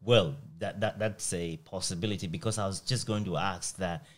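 Guy hosting an interview podcast, lowish voice, measured questions. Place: small plain indoor room. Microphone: close microphone on a stand.

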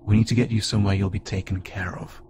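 A man voicing a friendly, curious, slightly awkward alien spacefarer in a conversational audio roleplay, speaking haltingly and wryly. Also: lowish voice, flat delivery, background noise, natural voice. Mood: reassuring, earnest. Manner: casual, halting, gently wry. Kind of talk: conversational audio roleplay acting to an unheard listener.

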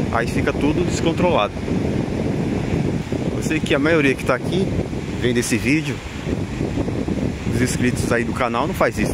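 A man with a Brazilian accent giving unscripted walking vlog commentary, repeating himself in an admonishing way with frequent tag questions. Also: mid-range voice. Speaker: vlogger. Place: outdoors on an open windy beach. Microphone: handheld camera mic, behind the camera. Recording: loud wind noise on mic. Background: wind and outdoor ambience.

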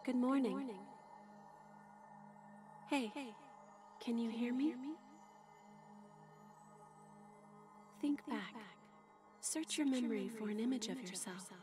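A woman voicing a calm, solemn mentor in a video game, speaking slowly and deliberately with an echo effect. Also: fairly high pitch. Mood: calm, coaxing. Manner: slow, deliberate speech. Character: solemn, calm female mentor guiding a newly reborn revenant. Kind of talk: scripted video game tutorial dialogue. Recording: loud background music.

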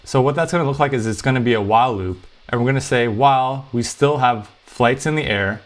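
A man's voice: medium pitch, brisk. Man explaining code step by step. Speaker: programming tutorial host. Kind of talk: explanatory coding tutorial, conversational.

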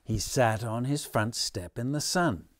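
A man in his sixties reading a children's story aloud expressively, in a measured, dramatic manner. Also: medium pitch, dry recording. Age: 60s. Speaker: storybook reader. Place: living room at home, on a sofa.

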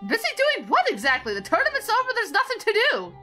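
A woman playing a composed female anime angel mentor, gently teasing and chatty in improvised parody game talk. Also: extremely high pitch, huge pitch swings, fast talker, faint music behind, full character voice. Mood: playfully bossy. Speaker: guest co-player on a gaming channel.

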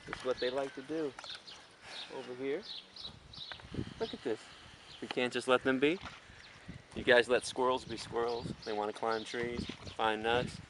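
Emphatic American man outdoors with clear background noise. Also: medium pitch, fairly even intonation, unhurried, pauses often. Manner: emphatic, repetitive, full of rhetorical questions. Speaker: animal rights advocate presenting a farm sanctuary. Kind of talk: informal on-camera commentary. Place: open farm field outdoors. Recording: noisy outdoor farm location sound.

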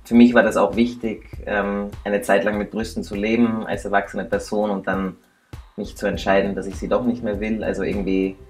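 Deep-voiced guy in his 30s, calm and reflective, telling his story on camera, bit of music under it. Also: fairly quick. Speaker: interviewee. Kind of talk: personal testimony to camera. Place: indoor studio set, seated.

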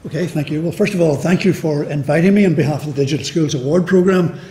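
A Northern Irish man speaking on a conference panel in a slightly higher, mellow voice. He talks in a measured way and often restarts his phrases. The sound carries an echoey hall. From Northern Ireland. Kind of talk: panel discussion answer. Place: lecture hall.

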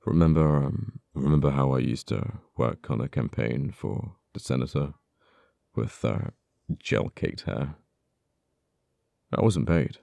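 A man playing an uptight, wounded but earnest government official in an ASMR audio roleplay, speaking haltingly with stammers. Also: extremely low pitch, creaky, dark tone, dry recording, heavily acted. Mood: weary, bitter. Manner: halting, hesitant delivery with stammers. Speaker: voice actor.

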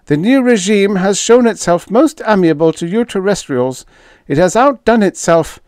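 A man performs an indignant alien diplomat from an audiobook story. The voice is pitched high and sounds faint and quavering, swinging widely as it makes stiff, formal protests. The recording is close and dry. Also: expressive, moderate pace, a little mellow, clean tone, no echo. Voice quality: weak, faint, trembling. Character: indignant, frail alien official with mandibles, evasive. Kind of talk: audiobook character dialogue.

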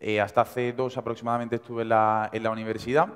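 Young Andalusian male speaker, twenties, quick and energetic, mid-pitched, heard in a reverberant hall. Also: clear, resonant tone. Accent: Andalusian Spanish. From Seville, Spain. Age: 20s. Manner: fast, energetic, conversational. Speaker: invited speaker. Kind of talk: motivational talk to students. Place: large university auditorium.

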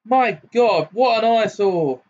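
A man voicing a haughty, scornful young woman with a shrill, mocking tone. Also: lively intonation, takes it slowly, a little mellow, full character voice. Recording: dull lo-fi recording.

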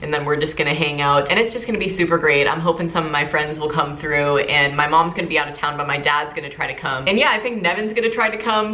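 A woman's voice: fairly low pitch, bright, forceful tone, echoey room. A young woman from Georgia answering viewer questions in a casual vlog, talking in a bubbly, upbeat, chatty way from her bedroom. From Georgia, USA. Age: young adult. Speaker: YouTuber. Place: small bedroom, seated in a hanging chair.

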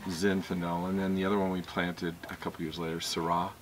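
A middle-aged man, a winemaker, tells his story in a relaxed, meandering way on an outdoor vineyard patio, picked up by a camera mic a few metres off. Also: deep voice, a little fast, a little hiss or hum. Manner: easygoing, meandering, with fillers and laughs.

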